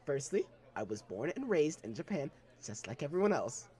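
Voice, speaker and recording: A man's voice: on the high side, sing-song, moderate pace, darkish tone, husky, voice pushed down. Man voicing a curt, disapproving young woman. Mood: cold. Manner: curt, scolding.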